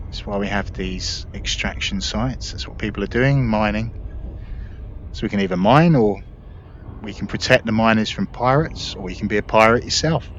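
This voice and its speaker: A man's voice: fairly low pitch, moderate pace, lo-fi sound, slight background noise. A man hosting a gameplay video, explaining things informally in casual commentary. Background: spaceship game sounds.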